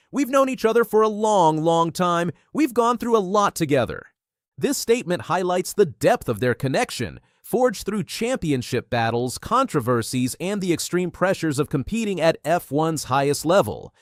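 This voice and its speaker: A man's voice: a little high, no room sound, clean studio sound. A man reading a scripted motorsport news narration in a polished, emphatic way.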